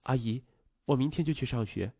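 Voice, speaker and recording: A man's voice: mid-range voice, brisk, gravelly, mellow, husky, no room sound, studio quality. Man voicing a timid, sorrowful young boy. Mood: meek. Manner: timid, hesitant. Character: timid, guilt-stricken young boy, tender and sad.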